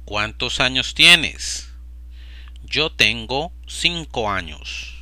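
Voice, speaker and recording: A man slowly and deliberately repeating short phrases for language learners. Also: mid-range voice, big pitch swings, pushed and bright, no room sound. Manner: slow, deliberate, evenly paced. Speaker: Spanish teacher. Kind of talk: slow repetition drill for learners.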